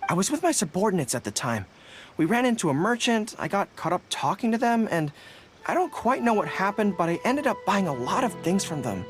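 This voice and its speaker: A woman voicing a youthful, earnest and modest dog-eared general in a video game, expressive and a little hesitant, with music behind. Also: medium pitch, a little fast, lightly acted. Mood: earnest, unsure. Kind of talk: scripted game dialogue. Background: background music.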